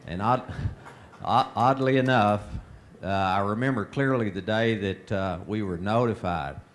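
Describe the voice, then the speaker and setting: A man's voice: lowish voice, measured pace, slight background noise. A man with a Texan accent, from Texas, brother of a missing pilot, recalling a personal memory at a family meeting. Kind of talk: personal recollection.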